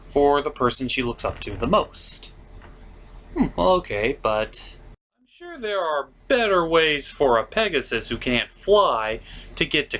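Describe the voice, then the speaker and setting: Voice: mid-range voice, a bit bright, a little hiss or hum. A comedic review host who recaps and rants in a sarcastic, exasperated way with theatrical emphasis. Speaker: host of a cartoon review show.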